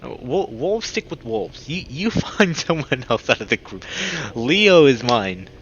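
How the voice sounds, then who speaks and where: Male game streamer, mid-pitched, narrating story text and reacting expressively; dull audio with noticeable noise. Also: big pitch swings, unhurried, a bit bright. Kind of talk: reading visual novel aloud with excited reactions. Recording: dull lo-fi mic with clear background noise.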